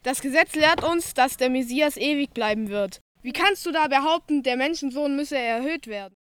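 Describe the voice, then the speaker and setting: A challenging woman from a crowd in a biblical radio play. She sounds sceptical, in a very high voice. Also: normal speed, fairly bright tone.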